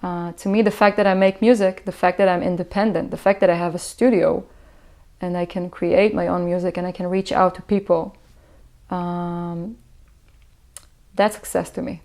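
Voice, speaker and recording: A young Israeli woman, a native Hebrew speaker, talks about her work in a low, level voice that barely rises or falls. She thinks aloud, pausing on fillers and restarting her sentences in an unhurried, reflective interview manner. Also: fairly even intonation, darkish tone. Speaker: musician. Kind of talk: interview answers.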